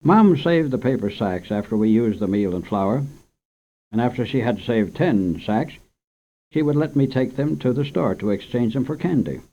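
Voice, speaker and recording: A man's voice: medium pitch, animated, normal speed, dark tone. Man reading a story on old radio. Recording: dull lo-fi old radio recording.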